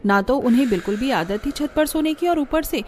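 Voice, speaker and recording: A woman's voice: high-pitched, animated, a little fast, slight background noise. A woman storyteller giving scripted narration for a cartoon story.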